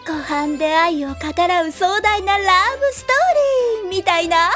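High-pitched, teasing anime girl. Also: expressive, normal speed, fairly bright tone, faint music behind. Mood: gleeful prying. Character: nosy, playful, excitable teasing young girl. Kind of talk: Japanese anime audio drama acting.

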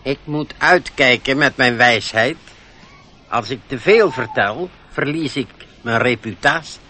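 An actor playing a secretive, self-important old gnome who talks in riddles in a radio play. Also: male voice, medium pitch, a little slow, sharp tone, a little hiss or hum. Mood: musing, mysterious. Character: secretive, wary old bookish male gnome. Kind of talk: radio drama acting.

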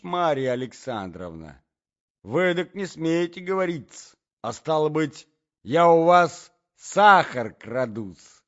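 A man voicing an indignant, vindictive provincial gentlewoman in a storybook reading, with shrill, old-fashioned, affronted speech. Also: highs cut off. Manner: shrill, servile old-fashioned speech tics, drawn-out retorts.

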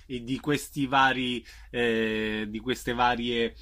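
A man's voice: medium pitch, level tone, slow, sharp tone. A man working as a radio interviewer, asking questions in a conversational way.

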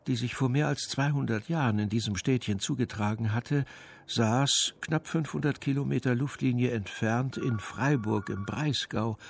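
A man narrating a radio crime play in a measured, matter-of-fact literary style. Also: medium pitch, vocal fry, slight background noise, lightly acted. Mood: dry, composed. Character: dry, composed narrator of a crime story.

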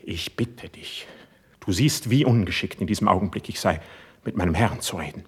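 An actor playing an impassioned, suspicious young court poet in a classical verse radio drama, with a husky, declamatory delivery. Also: male voice, sits low, normal speed. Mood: urgent, impatient. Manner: declamatory, intense stage delivery.

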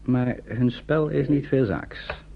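A man narrating a radio play in a measured, sober, scripted style. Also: medium pitch. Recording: dull, noisy old radio recording.

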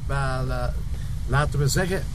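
A man's voice: medium pitch, a little slow, a little hiss or hum, close to an everyday voice. A man acting a patient, sensible police inspector in a TV crime drama, polite and restrained, with a Flemish accent. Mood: patient, dry.

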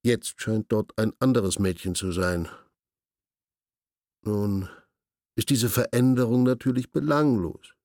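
Officious low-voiced castle official, very dry. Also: male voice, slow, pauses often, a little mellow, dry recording. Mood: officious, detached. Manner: officious, pedantic, circumlocutory. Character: weary, self-important male castle official giving orders. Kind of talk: literary radio play acting.

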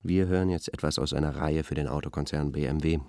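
An actor playing a bemused, understated arts expert talking deliberately as a studio guest in a radio play. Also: male voice, rumbling low, steady tone, dark tone, no echo. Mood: matter-of-fact.

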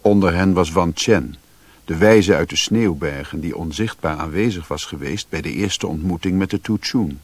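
Low-voiced, sombre adventurer-narrator. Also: male voice, normal speed, no echo. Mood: tense, reflective. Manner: sombre, literary storytelling. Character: foreign man recounting his grim adventures, sombre. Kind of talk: scripted radio drama narration.

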